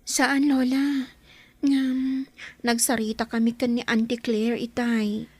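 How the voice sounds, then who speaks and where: A woman's voice: a little high, level tone, slow, a little mellow, dry recording. A woman acting a troubled young girl in an Ilocano audio drama. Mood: downcast. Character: troubled young girl asking after her sick mother. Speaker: actor in a drama.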